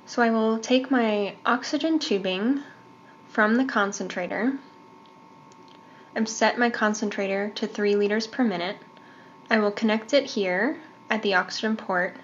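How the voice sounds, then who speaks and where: A woman giving step-by-step instructions as a training instructor, in a measured way. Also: medium pitch, muffled recording, slight background noise. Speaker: medical device training instructor.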